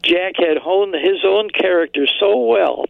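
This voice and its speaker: A man's voice: sits high, measured pace, vocal fry, dry recording, narrow, tinny audio. An older man telling anecdotes as a guest historian in a radio interview.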